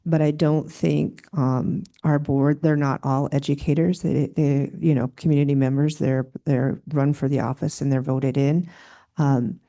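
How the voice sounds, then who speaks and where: A soft-spoken school superintendent testifying at a legislative committee hearing. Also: medium pitch, little pitch movement, a little slow.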